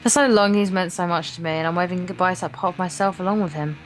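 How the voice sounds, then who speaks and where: A woman with a slightly low voice reads a story game aloud as a casual co-player. She trips on the odd word and carries on. Guitar music plays softly behind her. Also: fast, poor audio. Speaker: guest co-player reading the narration aloud. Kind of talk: reading a visual novel aloud, informal let's play. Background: game's guitar music.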